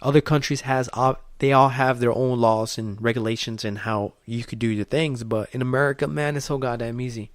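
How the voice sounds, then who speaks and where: A man's voice: medium pitch, fairly even intonation, a little fast, few pauses, dry recording, studio quality. A man whose first language is Spanish, hosting a podcast and giving a blunt, profane motivational talk full of rhetorical questions. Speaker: podcast host. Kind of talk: motivational monologue.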